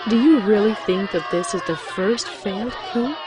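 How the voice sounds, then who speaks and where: A woman's voice: medium pitch, moderate pace, natural voice. Regal, airy pony princess played by a woman, noisy crowd. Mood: amused, condescending. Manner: condescending, airy, indulgent. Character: regal, self-assured, teasing pony princess ruler. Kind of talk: cartoon fan fiction audio drama. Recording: noisy track with busy crowd ambience.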